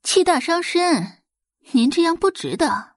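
A woman's voice: extremely high pitch, very animated, measured pace, no room sound, clean studio sound, voice pushed up, full character voice. A woman voicing a defiant young daughter in an audio drama, airy and nonchalant, with a cool sarcastic edge. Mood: airy sarcasm.

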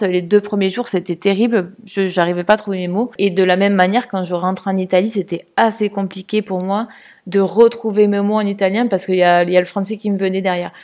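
A woman's voice: mid-range voice, a little mellow. A woman from France talking casually in a personal podcast monologue, hesitant with many fillers and restarts. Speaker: podcast host.

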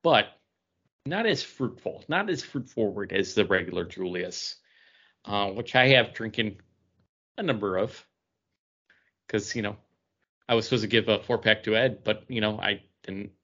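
A man's voice: lowish voice, lively intonation, moderate pace, pauses often, a bit bright, dull audio. A man co-hosting a podcast, talking casually about craft beer. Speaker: podcast co-host.

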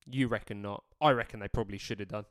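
A man's voice: lowish voice, steady tone, fast talker, fairly bright tone, no echo, clean studio sound. Chatty man talking football. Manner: chatty, rambling, lots of fillers and restarts. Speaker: podcast co-host. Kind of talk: casual football podcast chat.

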